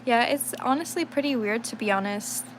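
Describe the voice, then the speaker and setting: Young woman, sick, a little raspy, rambling casual streamer chat, faint noise behind. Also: a little high, brisk. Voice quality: slight rasp. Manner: rambling, thinks aloud, many fillers. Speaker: livestreaming digital artist.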